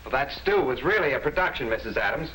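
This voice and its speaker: An actor playing a polite, eager young American house guest in a 1950s sitcom-style film. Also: male voice, mid-range voice, lively intonation, fast talker, pushed and bright. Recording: noisy vintage 1950s film soundtrack.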